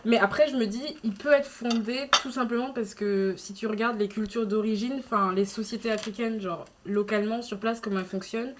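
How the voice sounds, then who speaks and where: A woman in her twenties, a student talking casually in a group discussion, who laughs often and trails off softly. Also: on the high side, steady tone, fairly quick, hardly any pauses, background noise. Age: 20s. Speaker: student guest.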